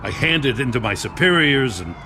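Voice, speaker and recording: A man's voice: mid-range voice, animated, a little fast, noisy background, close to an everyday voice. An actor playing a dutiful middle-aged male police lieutenant in a video game, earnest and frustrated. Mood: weary, troubled. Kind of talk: video game dramatic acting. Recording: music behind the voice.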